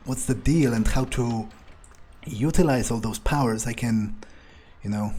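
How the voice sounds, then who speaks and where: A man role-playing young-blooded fledgling vampire, mellow dark tone, husky, hesitant, grumbling, suspicious, improvised game monologue. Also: mid-range voice, close to an everyday voice. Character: resentful, suspicious fledgling male vampire thinking aloud. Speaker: gaming video player.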